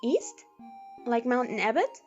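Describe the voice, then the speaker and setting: A woman's voice: a little high, big pitch swings, unhurried, darkish tone, highs cut off, faint music behind. A woman playing an eager, loyal young monster boy in an audio drama, talking in earnest slang.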